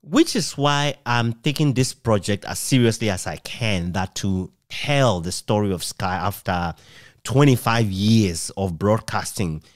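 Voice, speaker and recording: Low-voiced Ghanaian man interviewing, dry sound. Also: unhurried, no echo. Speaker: interviewer, author. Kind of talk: conversational radio history interview.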